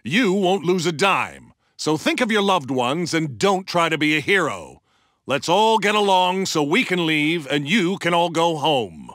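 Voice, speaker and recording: A posh-mannered theatrical villain heister in a video game, high-ish voice, super animated, dry studio sound. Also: male voice, unhurried, no echo. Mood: suave, commanding. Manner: pompous, theatrical, crisp delivery. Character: theatrical, urbane gentleman-villain heister, courteous yet commanding. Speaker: video game character voice actor. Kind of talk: video game character voice lines, combat barks.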